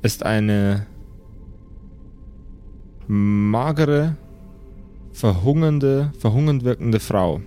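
A man's voice: medium pitch, expressive, very slow, long pauses, mellow. Male game master narrating over music. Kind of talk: improvised narration of a tabletop horror adventure. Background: background music.

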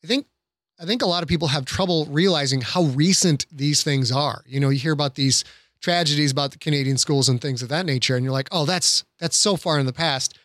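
A man's voice: on the high side, brisk, fairly bright tone, no echo, studio quality. A middle-aged man in a casual podcast discussion, explaining things in an earnest, plain-spoken way.

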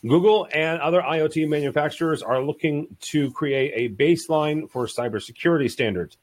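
A man's voice: on the high side, fairly quick. A man hosting a panel podcast, making jokey, upbeat introductions and reading out news items. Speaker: podcast host.

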